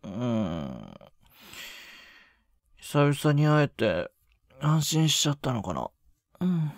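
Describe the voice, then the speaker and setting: A male voice actor plays a young boyfriend, tender, longing and clingy, in an intimate roleplay drama. He speaks unhurriedly at a middling male pitch, softly and with breathy little chuckles. The sound is dry and close, as if meant for one listener. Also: huge pitch swings, takes it slowly, no room sound, heavily acted. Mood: sleepy, clingy.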